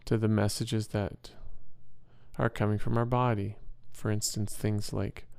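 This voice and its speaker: A man leading a guided meditation as an instructor, speaking in a soothing, measured and gentle way with pauses. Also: fairly low pitch, gravelly, clean tone, no room sound.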